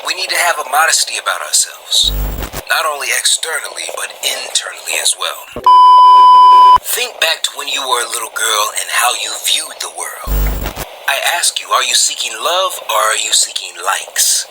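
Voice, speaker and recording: A male radio host delivering a scripted motivational monologue in an earnest, deliberate manner, speaking directly to his listeners. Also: mid-range voice, sing-song, over loud music. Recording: noisy radio-style recording with ambience.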